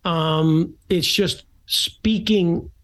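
Male podcast guest, slight Philly accent, fairly high voice, laid-back and reflective delivery. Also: very slow. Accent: slight Philadelphia. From Philadelphia area, United States. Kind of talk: casual podcast chat.

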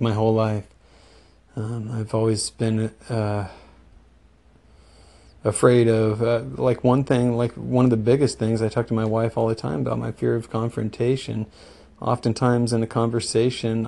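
Sick male caller, gravelly and dark, flat and slow, hesitant voicemail. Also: mid-range voice. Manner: hesitant, halting, with many fillers. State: sick with the flu. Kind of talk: recorded voicemail message.

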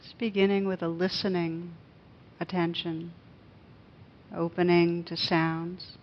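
A woman leading a guided meditation as a teacher, speaking gently and unhurriedly with pauses. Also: fairly low pitch, little pitch movement, lo-fi sound, slight background noise.

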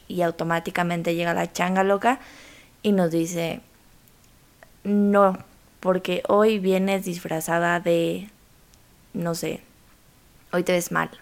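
A woman with a Mexican accent hosting a conversational podcast, speaking informally and straight to the listener. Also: on the low side. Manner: informal, addresses listener directly. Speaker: podcast host.

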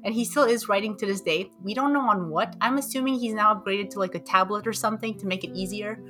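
A woman's voice: medium pitch, quick pace, bright, forceful tone, faint music behind. A young woman co-hosting a podcast, playful and curious, asking probing questions in casual talk. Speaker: podcast co-host.

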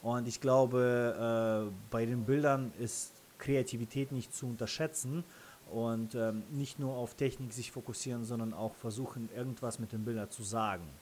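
A man with a Russian accent, a native Russian speaker, answering interview questions casually in halting, self-correcting phrases. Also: mid-range voice, fairly even intonation, darkish tone, slight background noise. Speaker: interview guest, freelance photographer and videographer.

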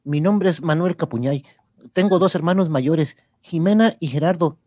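A woman's voice: low voice, moderate pace, dark tone, no echo, studio quality. A woman playing a helpful, earnest young boy in an amateur radio drama, speaking as if reciting.

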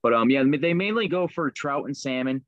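A man's voice: medium pitch, expressive, fairly quick, no room sound. Easygoing man chatting, slightly dull sound. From Liverpool, New York. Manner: easygoing, many ums and fillers. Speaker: guest, maker of fishing lures. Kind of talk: casual interview chat.

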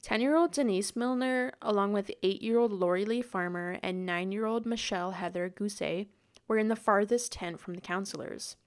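A woman's voice: mid-range voice, moderate pace. Podcast host: woman with a mid-range voice, reads a true-crime script steadily, casual when she chats.

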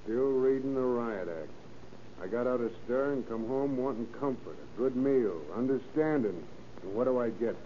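An actor playing a slangy, defensive ex-convict with a tough way of talking in an old radio crime drama. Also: male voice, mid-range voice, lively intonation, moderate pace, lightly acted. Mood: bitter, defensive. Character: slangy, defensive, small-time ex-convict husband and schemer. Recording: dull, hissy old radio recording.

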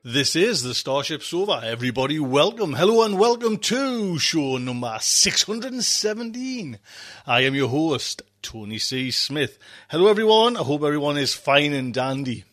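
A man's voice: a little high, sing-song, normal speed, fairly bright tone, faint music behind. A man hosting a podcast, chatting in a rambling, repetitive way as he introduces the show. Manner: rambling, repeats himself. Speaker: podcast host.

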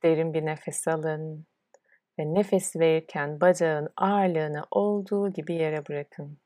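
A woman leading a guided relaxation as a yoga nidra instructor, speaking in a soothing, unhurried way with pauses between instructions. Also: deep voice, fairly even intonation, mellow, dry recording, clean studio sound.

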